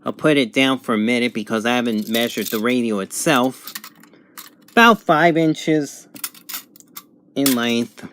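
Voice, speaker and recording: A man giving a casual, unscripted product review at home, thinking aloud in halting phrases. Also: medium pitch, fairly bright tone, a little hiss or hum. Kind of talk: casual unboxing and review narration. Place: home bedroom, indoors.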